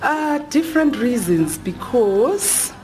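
A woman's voice: on the high side, very animated, takes it slowly, music in the background. A woman, an a cappella singer, explaining things plainly in an interview. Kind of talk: casual interview talk.

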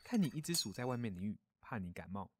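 A man voicing a kindly grown-up mole in a children's audio story, gentle, caring and reassuring. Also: on the low side, big pitch swings, fast, background noise. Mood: welcoming.

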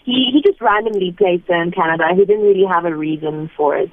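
A young South African woman, an actress, chatting casually and laughing easily in an interview over a phone line. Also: on the low side. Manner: chatty, laughs often, quick asides. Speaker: actress guest.

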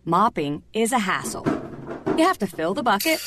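A woman's voice: medium pitch, very animated, moderate pace, noisy background. A woman reading a scripted advert as a voice-over. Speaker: commercial voice-over. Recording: music and effects behind.

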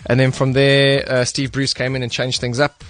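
A man's voice: mid-range voice, monotone, normal speed, dry recording, soft background music. A man from South Africa with a South African accent, talking casually as a guest in a radio studio interview. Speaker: studio guest, retired professional goalkeeper.

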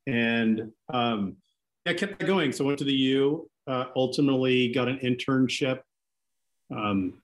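Relaxed man, halting and easygoing. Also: mid-range voice, slight background noise. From Seattle. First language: Greek. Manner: relaxed, halting, many restarts and fillers. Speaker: interview guest. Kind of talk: casual podcast interview.

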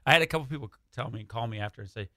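Bright-voiced American man in radio interview. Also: medium pitch, very fast, no echo, studio quality. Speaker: radio play writer, guest. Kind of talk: casual radio interview chat. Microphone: close radio studio microphone.

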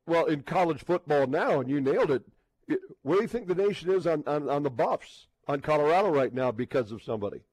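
A man hosting a sports talk radio show in an effusive, halting, unscripted way, repeating phrases for emphasis. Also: fairly high pitch, lively intonation, a little mellow, breathy edge, no room sound, poor audio.

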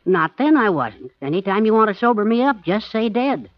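An actor playing a jittery, easily baffled client in 1940s radio acting style, with a plaintive manner. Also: male voice, sits high, very animated, normal speed, no room sound. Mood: plaintive, hungry. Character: jittery male client with a nervous tic, sheepish ex-drinker. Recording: dull vintage 1940s radio recording.

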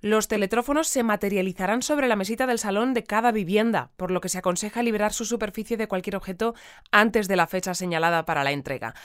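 A woman's voice: medium pitch, fast talker, clean tone, no room sound, studio quality, lightly acted. A woman with an accent from Spain playing a composed, formal newsreader of a futuristic community bulletin in an audio drama. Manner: formal, orderly, articulate. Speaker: news bulletin presenter. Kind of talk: scripted radio news reading and interview.

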